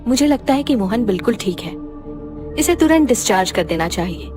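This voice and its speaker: Woman voicing female doctor, cartoon, high-pitched, caring, resolute, questioning, soft music bed. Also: very animated, fairly quick. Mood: firm, questioning. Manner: reassuring yet firmly questioning. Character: determined, caring female doctor and wife, suspicious.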